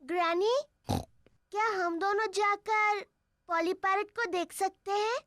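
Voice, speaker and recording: A performer voicing a cheerful, bossy, excitable little girl pig in a children's cartoon dub, eager and exclaiming. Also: very high-pitched, slow pace, no room sound, studio quality, heavily acted. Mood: bossy, curious.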